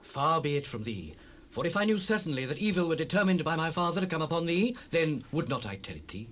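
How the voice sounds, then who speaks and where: A man's voice: fairly high pitch, big pitch swings, fast talker, a bit bright. A young biblical prince, a devoted and loyal friend. He declaims solemnly and reassuringly with wide rises and falls, on a faintly noisy cassette with ambience behind. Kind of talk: dramatized scripture, audio drama acting.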